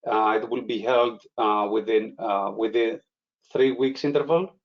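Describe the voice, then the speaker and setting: A man's voice: medium pitch, highs cut off. A man hosting a business webinar, speaking hesitantly with many "uh" fillers. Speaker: webinar host. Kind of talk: webinar presentation.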